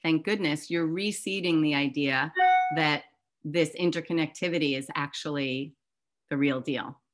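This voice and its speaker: A woman's voice: sits low, normal speed, faint music behind. A woman hosting an online book discussion in a casual, chatty and enthusiastic way. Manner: enthusiastic, informal. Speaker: host of an online photobook discussion. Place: online video call.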